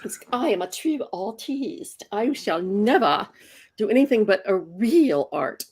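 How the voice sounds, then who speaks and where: A woman's voice: medium pitch, expressive, unhurried, darkish tone, husky. A woman in her sixties, a comics inker, talking casually in an interview and often restarting her words. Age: 60s. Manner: chatty, repeats words when restarting.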